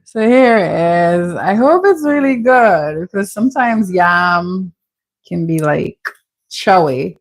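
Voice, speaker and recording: A woman's voice: medium pitch, lively intonation, takes it slowly. A middle-aged woman with a Jamaican accent chats casually and candidly as she hosts a home cooking vlog, with a playful manner. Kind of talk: casual chatty cooking commentary. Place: home kitchen.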